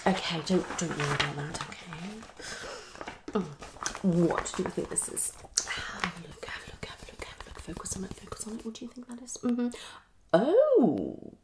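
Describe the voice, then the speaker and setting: A woman's voice: on the low side, big pitch swings, normal speed, heavy background noise. A young woman playing a psychologist in an improvised ASMR roleplay, questioning and hesitant, often repeating short phrases. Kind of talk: fast unscripted ASMR roleplay. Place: small home room, indoors.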